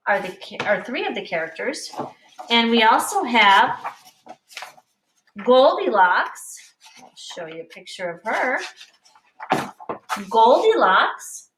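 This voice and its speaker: Woman, schoolteacher, lively swooping read-aloud, highish voice, explaining to kids, distant roomy mic. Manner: animated, deliberate, explaining to children. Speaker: teacher reading aloud to young children. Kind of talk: expressive picture-book read-aloud with teaching asides. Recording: echoey room, distant mic.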